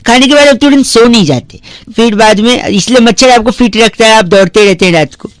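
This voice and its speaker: A man's voice: high-pitched, brisk, no echo, close to an everyday voice. A man voicing a cheeky, childlike prank caller who pesters in a chatty, mock-earnest way on comedy radio. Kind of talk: comic prank phone call.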